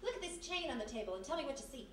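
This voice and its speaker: A woman with an American accent playing a sassy, quick-witted girl Friday in a radio mystery, brash and slangy. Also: high voice, sing-song, fairly bright tone. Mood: shrewd. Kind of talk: live 1940s-style radio mystery acting. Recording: noisy echoey hall, distant stage mic.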